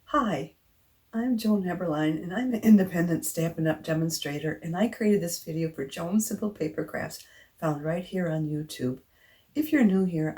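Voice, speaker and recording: Woman craft demonstrator, somewhat low voice with a slight scratchiness from a cold; patient, walks through each step. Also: mellow, breathy edge.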